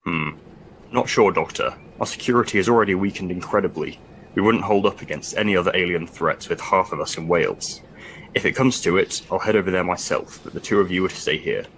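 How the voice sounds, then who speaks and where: An actor playing a stern, dry-witted military commander in an audio drama, speaking with clipped authority. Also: male voice, low voice, a little fast, a bit bright, slight background noise, low-quality recording. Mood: dry, concerned.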